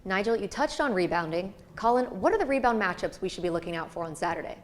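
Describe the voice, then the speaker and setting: A woman's voice: medium pitch, expressive, fast. Polished young woman anchoring sports news. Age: young adult. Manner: polished, upbeat anchor delivery.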